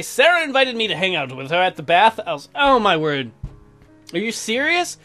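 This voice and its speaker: A woman's voice: medium pitch, fairly bright tone. A woman hosting a let's play, casually reading game dialogue aloud and commenting in a joking, slangy way.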